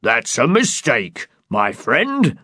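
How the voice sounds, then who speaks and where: A man's voice: mid-range voice, wildly swooping pitch, takes it slowly, sharp tone, husky, no echo, clean studio sound, heavily acted. A man voicing a friendly, practical innkeeper in a storybook, hearty and obliging in manner. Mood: practical, advising. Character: loud, friendly, practical male innkeeper humoring an eccentric. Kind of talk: dramatized storybook reading.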